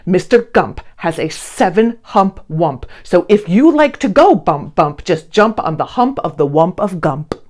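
Woman, children's storybook reader, lively varied intonation, unhurried, deliberate, theatrical rhyming delivery. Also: lowish voice. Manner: expressive, theatrical, deliberate phrasing. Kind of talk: animated read-aloud of a rhyming picture book. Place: small indoor studio with blue backdrop.

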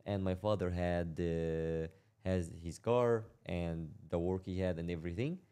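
A young man from Syria telling his life story in a casual interview, speaking in a halting way, repeating words and laughing as he talks. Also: deep voice, fairly even intonation, mellow, clean tone. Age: young adult. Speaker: interview guest.

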